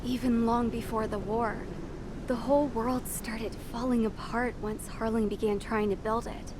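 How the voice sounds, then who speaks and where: A young woman playing a refined, grieving princess who narrates a video game story in a solemn, hushed and measured way. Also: a little high, flat delivery, background noise, natural voice. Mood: pensive. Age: young adult. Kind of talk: dramatic scripted narration. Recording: loud music under the voice.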